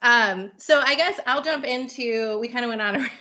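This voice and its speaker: A woman's voice: fairly high pitch, normal speed, sharp tone. A woman hosting a podcast, chatting casually and enthusiastically about what she likes. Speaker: podcast host. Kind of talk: casual book chat interview.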